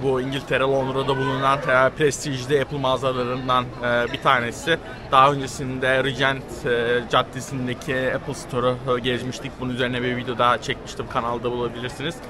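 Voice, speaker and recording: A man in his thirties from Turkey, casually narrating a walking travel vlog with many drawn-out fillers, filmed at arm's length in a busy market. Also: medium pitch. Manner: hesitant, many drawn-out fillers, steady and informal. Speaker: travel vlogger. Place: busy covered market and rainy square outdoors. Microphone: handheld camera at arm's length. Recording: noisy busy street ambience. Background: crowd chatter and city ambience.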